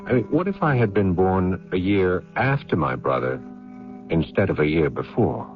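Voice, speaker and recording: A dull old radio recording with faint music carries a dutiful elder brother. He is a low-pitched man, weary and put-upon, speaking with musing hesitation. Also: sing-song, moderate pace. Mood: reflective. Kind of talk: radio drama acting, first-person narration and dialogue.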